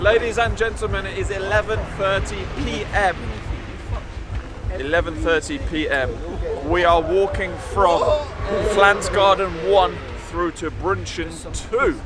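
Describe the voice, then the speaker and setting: A British man with a hoarse, fading voice, chatting jokily as he walks through a race crowd at night. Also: high voice, fairly even intonation, a little slow. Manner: jokey, chatty presenter. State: losing his voice. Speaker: vlogger. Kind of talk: casual walking vlog. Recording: loud music over outdoor audio. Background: loud music.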